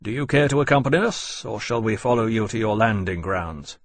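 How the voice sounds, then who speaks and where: A man's voice: on the low side, expressive, fairly quick, dry recording. A man playing a naval captain in an audiobook story, formal, reserved and courteous, with a stiff, correct manner. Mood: formal, guarded.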